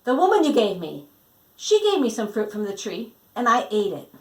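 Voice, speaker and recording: A woman's voice: a little high, very animated, normal speed, a little mellow, echoey room. A woman reading a storybook, voicing a frightened man confessing and excusing himself emphatically. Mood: anxious confession.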